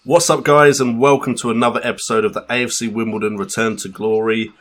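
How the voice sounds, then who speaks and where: A man's voice: mid-range voice, steady tone, fast, loud music behind the voice. A man hosting a gaming channel, giving casual let's play commentary.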